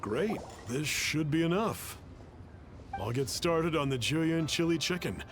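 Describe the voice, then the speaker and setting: A man voicing a reserved but helpful townsman in a video game, measured and plain-spoken. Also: a little high, expressive.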